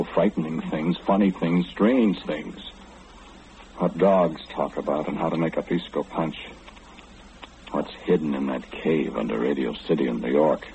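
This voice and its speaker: A man's voice: low voice, lightly acted. An actor in an old-time radio horror play, playing an omniscient, ominous man who confides insistently and questioningly in the listener. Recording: muffled, hissy old radio recording.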